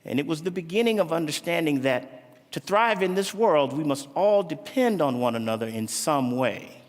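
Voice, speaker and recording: A man from Florida speaks in a formal, unhurried, gracious way. His voice sits a bit high. Arena reverb lingers behind him. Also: animated. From Florida, USA. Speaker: jazz pianist and bandleader. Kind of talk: formal speech to an audience. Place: large indoor arena.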